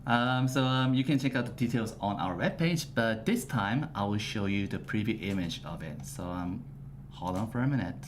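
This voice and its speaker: A man hosting a casual game livestream, talking in halting, filler-heavy phrases. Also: on the low side. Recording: steady background noise with typing.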